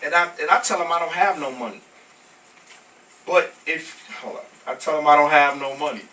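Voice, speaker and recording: Bright-voiced American man performing spoken word, distant mic. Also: fairly high pitch, normal speed. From Brooklyn, New York, United States. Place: live poetry venue with small audience. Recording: noisy, distant amateur recording of live venue.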